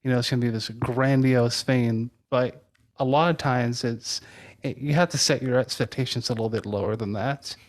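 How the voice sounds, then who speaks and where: A man's voice: mid-range voice, steady tone, moderate pace. A man interviewing a guest in a casual, conversational way on a podcast.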